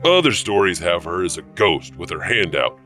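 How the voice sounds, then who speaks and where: A man narrating scripted spooky tales as host, deliberate and dramatic in delivery. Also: sits low, sing-song, bright, forceful tone, recorded from a distance, soft background music.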